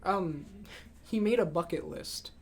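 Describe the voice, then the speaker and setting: A man's voice: high-pitched, unhurried, vocal fry. Casual man co-hosting, slightly noisy recording. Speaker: podcast co-host. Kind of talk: casual movie chat. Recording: noisy amateur room recording.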